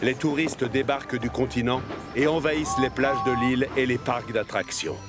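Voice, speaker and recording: A man's voice: mid-range voice, steady tone, moderate pace. A man giving scripted narration for a children's cartoon in a storytelling manner. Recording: music behind the voice.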